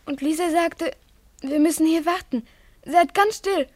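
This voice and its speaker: A woman's voice: very high voice, measured pace, no room sound. A female performer acting a frightened, clinging little schoolgirl in a German radio play, earnest, breathless and pleading. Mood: fearful, earnest.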